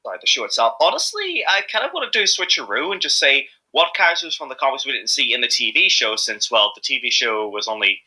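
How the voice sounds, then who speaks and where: Bright-voiced, animated man rambling in an interview. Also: medium pitch, fast, few pauses, breathy edge, rough recording. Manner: hesitant, rambling, repeating himself. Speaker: amateur voice actor being interviewed. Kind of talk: casual interview chat.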